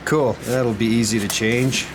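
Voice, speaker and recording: A man's voice: mid-range voice, fairly even intonation, a little slow, noisy background. A man narrating a hands-on repair casually, thinking aloud as he works. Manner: thinking aloud while working. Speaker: hobbyist radio repairer.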